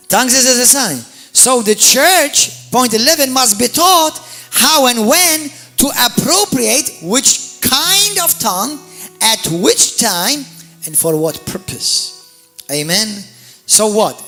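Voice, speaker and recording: Male pastor, animated sermon storyteller, high bright voice, soft music behind. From Malta. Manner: animated storyteller, repeats and restarts words, addresses the congregation directly.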